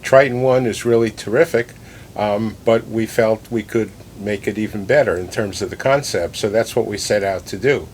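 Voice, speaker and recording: A man with a slightly low voice speaks as an interview guest over some background noise and rumble. He explains things conversationally, often restating a phrase to stress it. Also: moderate pace, darkish tone. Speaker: loudspeaker company president, interview guest. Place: listening room in a home.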